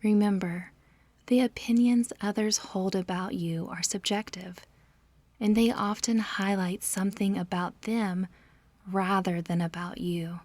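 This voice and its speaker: A woman narrating a scripted guided meditation in a soft, breathy voice, soothing and measured, leaving pauses between instructions. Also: medium pitch, fairly even intonation, dry recording.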